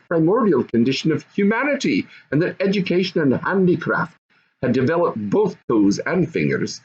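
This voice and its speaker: A man's voice: medium pitch, lo-fi sound. An older man reading a children's storybook aloud as narrator. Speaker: storybook reader.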